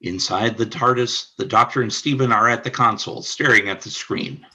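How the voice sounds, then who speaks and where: Brief: male narrator for a radio play, measured delivery, bright but husky tone. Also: mid-range voice. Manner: measured, announcer-like.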